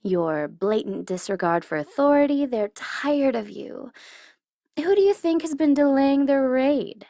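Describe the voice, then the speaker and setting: Female supervillain , acted by a woman, ASMR roleplay. Teasing menace with a secret soft spot, deliberate slow delivery, close dry sound. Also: high-pitched, lively intonation, dry recording, voice pushed up. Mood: sly, persuasive. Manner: taunting, coy, commanding, with drawn-out deliberate phrasing.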